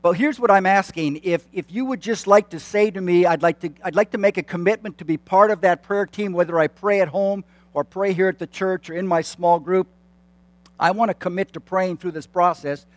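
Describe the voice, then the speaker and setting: A man in his late fifties speaks to a church congregation with a friendly, self-deprecating manner, pausing on plenty of fillers and restarting his sentences. His voice sits high for a man, and the sound is dry and close, with little room echo. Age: 50s. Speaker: church consultant. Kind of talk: announcements to a congregation. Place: church sanctuary.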